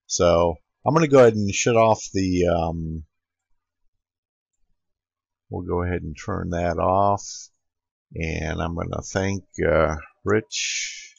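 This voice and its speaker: A man's voice: low voice, vocal fry, breathy edge, no echo. A man narrating an informal screen-capture tutorial as an amateur radio operator, recorded close on a dull computer microphone.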